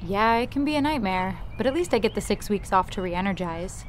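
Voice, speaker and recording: A woman's voice: mid-range voice, animated, brisk, slight background noise, natural voice. A woman playing a friendly, eager young teacher in an amateur audio drama. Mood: chatty.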